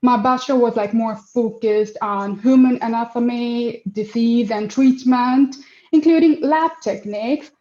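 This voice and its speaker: A woman's voice: fairly high pitch, a little slow, dark tone, roomy sound, dull audio. A woman in her twenties, originally from Afghanistan, answering interview questions in a hesitant way with many fillers and restarts. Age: 20s. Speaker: project manager, interview guest.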